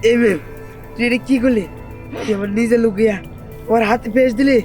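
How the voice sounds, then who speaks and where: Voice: mid-range voice, fairly even intonation, brisk, a little mellow, soft background music, lightly acted. An actor playing a boastful hired thug who dodges blame in a cartoon drama. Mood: indignant.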